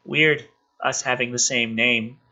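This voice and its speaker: A man's voice: medium pitch, steady tone, slow, sharp tone, heavily acted. A man voicing an earnest, blunt young boy in a film script read-through, innocent and matter-of-fact. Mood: earnest, curious.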